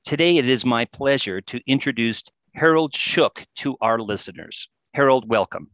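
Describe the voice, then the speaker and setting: A man's voice: mid-range voice, expressive, moderate pace, a bit bright, no echo. Male podcast host, friendly polite interviewer, dry close sound, dull lo-fi quality.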